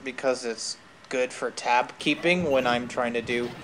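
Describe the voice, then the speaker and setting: A man's voice: medium pitch, a little slow, a bit bright, slight background noise. A man chatting casually as host of a gaming stream, rambling and digressive with bookish word choices. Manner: rambling, digressive, uses academic words.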